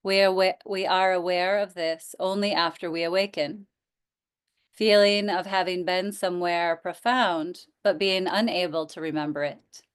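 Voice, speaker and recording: A woman teaching yoga nidra, guiding relaxation in a gentle, inviting manner with pauses. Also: on the low side, smooth. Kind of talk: guided relaxation instruction.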